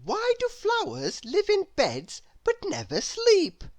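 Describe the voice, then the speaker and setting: A woman's voice: very high voice, wildly swooping pitch, takes it slowly, pitched above the performer's own voice, exaggerated voice. A woman voicing an endlessly curious little girl storybook character, asking in a wondering sing-song way.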